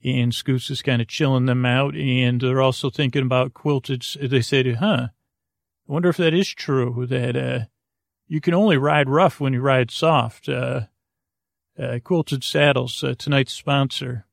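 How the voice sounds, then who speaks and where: A man hosting a bedtime podcast in a creaky, lulling voice, rambling hesitantly and drifting off into tangents. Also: mid-range voice, fairly even intonation, a little slow, dry recording, clean studio sound. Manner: hesitant, digressive, full of tangents and trailing thoughts. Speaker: podcast host. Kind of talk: rambling, meandering bedtime monologue.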